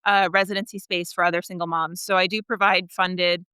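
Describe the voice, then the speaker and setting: Low-pitched, bright, very clear woman. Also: level tone, brisk, no echo. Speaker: podcast guest, author. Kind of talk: conversational interview.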